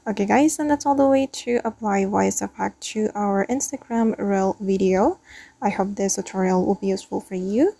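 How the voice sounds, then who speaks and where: A woman hosting a tutorial, narrating step by step with hesitant, drawn-out pauses. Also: mid-range voice, gravelly, warm tone, no room sound. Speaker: tutorial host.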